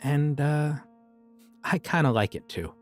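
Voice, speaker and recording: A man's voice: a little high, a little slow, soft background music, natural voice. A man playing an offhand, creepy occult shopkeeper. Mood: easygoing. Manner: casual, easygoing and offhand about dark things. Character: friendly but creepy male occult shopkeeper, young adult. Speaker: voice actor. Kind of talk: ASMR audio roleplay.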